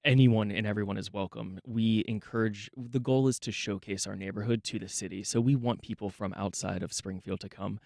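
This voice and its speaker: A man speaking as a guest on a radio talk show, conversational, often pausing with um and restarting. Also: lowish voice, fairly even intonation, gravelly, darkish tone, no echo, clean studio sound. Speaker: guest, neighborhood nonprofit director. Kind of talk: radio interview.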